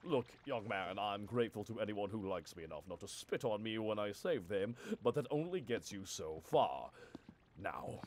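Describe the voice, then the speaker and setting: A man's voice: fairly low pitch, expressive, moderate pace, darkish tone, a little hiss or hum, close to an everyday voice. A man voicing a boisterous, cocky muscular superhero in a comedic anime parody dub, with a hammy showman's delivery. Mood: stern. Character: muscular adult male superhero, boisterous, cocky, oddly self-conscious. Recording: background music under the voice.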